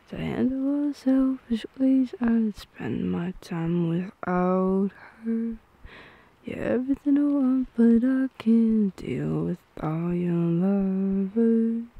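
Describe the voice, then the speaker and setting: High, slow-paced woman in intimate soft ASMR. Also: creaky, no room sound, muffled recording. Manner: playful, intimate, often whispered. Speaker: ASMR roleplay performer. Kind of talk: ASMR girlfriend roleplay, soft singing and whispering.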